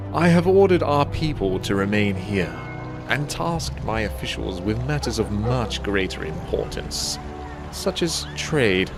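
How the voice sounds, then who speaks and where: A man playing a smug, dismissive human magistrate in a fantasy game, pompous and condescending. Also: lowish voice. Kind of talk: fantasy game cutscene acting. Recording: music under the voice.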